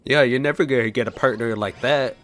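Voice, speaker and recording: A man's voice: medium pitch, brisk, a bit bright, slight background noise. Young man casually commenting on a game. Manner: easygoing, playful sarcasm. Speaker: let's play streamer.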